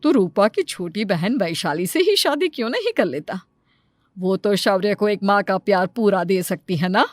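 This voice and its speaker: A woman's voice: medium pitch, lively intonation, normal speed, no room sound, clean studio sound, natural voice. A woman voicing an insistent, coaxing elderly mother in a cartoon story. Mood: insistent pleading.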